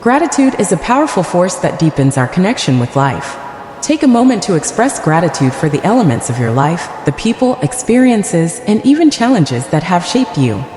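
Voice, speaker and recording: Gentle lady doing a guided meditation, soothing and deliberate, big echoey reverb, faint rumbly noise behind. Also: very animated. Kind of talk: guided meditation, scripted narration.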